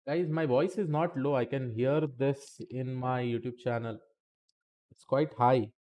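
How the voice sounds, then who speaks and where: A man's voice: mid-range voice, fairly even intonation, a little slow, a little mellow. A man teaching statistics in a live-streamed lesson, chatty and talking to the chat between points, saying okay often.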